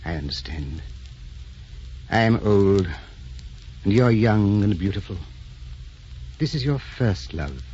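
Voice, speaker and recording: An aging, wounded aristocratic husband in an old radio drama. The voice is low and very mellow, grave and formal in delivery. The recording is dull, with faint noise. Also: male voice, lively intonation, slow pace, long pauses. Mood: sad, resigned.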